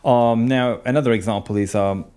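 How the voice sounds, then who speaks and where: A man, a podcast guest talking casually into a boom-arm studio mic, filling his speech with "you know" and "um". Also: fairly even intonation, brisk, mellow, clear, resonant tone. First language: Turkish. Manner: gestures a lot, frequent "you know" and "um". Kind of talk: casual interview chat. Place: small studio with colored backdrop.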